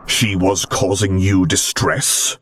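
A man voicing an imposing, stubborn dark lord in a story, with terse, abrupt delivery. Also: low voice, slow pace. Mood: offhand, stern.

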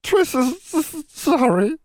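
A man's voice: extremely high pitch, sing-song, a little slow, dry recording, voice pushed up, heavily acted. A man voicing a weepy old female house elf who sobs, wails and talks about herself in the third person. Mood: wailing.

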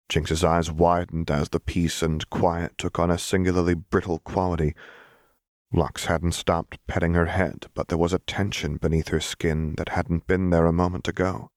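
A man's voice: deep voice, no echo, studio quality. A man narrating dramatic fiction as a scripted audiobook reading.

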